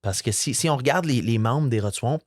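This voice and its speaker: A young man with a Franco-Ontarian French accent, a musician chatting as a guest, relaxed and rambling with lots of fillers. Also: medium pitch, fairly quick, dry recording, studio quality. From Eastern Ontario, Canada. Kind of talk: casual interview chat.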